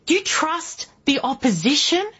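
A woman in her early forties with an Australian accent, hosting political talk radio in an emphatic monologue full of rhetorical questions, with a husky voice. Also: high voice, big pitch swings, moderate pace, a bit bright, highs cut off. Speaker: guest radio host.

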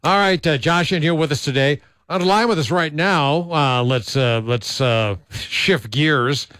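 A man's voice: fairly high pitch, measured pace, a bit bright, no room sound, clean studio sound. A man hosting a talk radio show, chatting loosely with many fillers and blunt opinions. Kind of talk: casual talk radio chat.

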